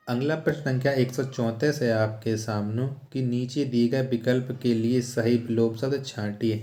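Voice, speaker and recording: Male exam coach, soft-edged warm tone, question-then-answer teaching with repeated key words, noticeably reverberant room. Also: medium pitch. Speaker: exam-prep Hindi grammar teacher. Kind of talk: instructional question-and-answer walkthrough. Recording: roomy, echoing sound.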